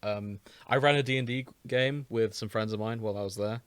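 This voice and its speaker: A British man with a fairly low voice talks casually as a podcast host. He has a wry, joking manner that pokes fun at himself. The sound is dry, with very little room in it. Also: steady tone, fairly quick, clear, resonant tone, no room sound. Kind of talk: casual chat.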